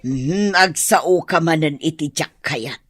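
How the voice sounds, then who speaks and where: A woman's voice: low-pitched, takes it slowly, husky, no echo, heavily acted. An actress playing a middle-aged mother in a comedy radio drama, exasperated yet consoling, with sighing, coaxing delivery. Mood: gentle, sighing.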